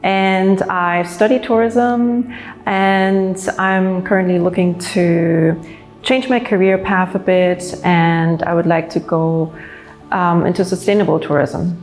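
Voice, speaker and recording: A woman's voice: fairly low pitch, steady tone, takes it slowly, soft background music. A young woman from Germany giving open, earnest interview answers. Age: young adult. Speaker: program participant.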